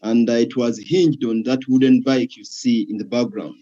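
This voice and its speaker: A man's voice: mid-range voice, fairly even intonation, moderate pace, warm tone. Hesitant male TV host, dull sound. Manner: hesitant, many "uh" fillers. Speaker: TV show host. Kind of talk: hosting an interview show.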